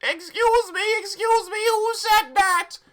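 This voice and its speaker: Flustered male caretaker, hysterical and shrill, comedic dramatic reading, very high, loud. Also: slow pace, exaggerated voice. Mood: indignant.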